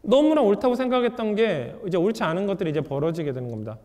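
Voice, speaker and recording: Man, author lecture, lively pitch, mellow tone, reverberant hall. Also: racing. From South Korea. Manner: lively, asks the audience rhetorical questions. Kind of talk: public lecture to an audience.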